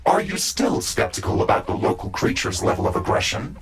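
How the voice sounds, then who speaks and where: An actor playing a stern, by-the-book AI mech suit in a video game, talking in a formal, measured, procedural manner. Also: male voice, soft background music, natural voice. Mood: stern challenge. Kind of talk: scripted video game voice acting.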